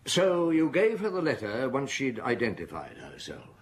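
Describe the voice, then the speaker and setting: An actor playing a shrewd, authoritative Paris police chief who questions in a probing, measured way in a radio drama. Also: male voice, medium pitch, huge pitch swings, slightly rough, full character voice. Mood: brisk, probing.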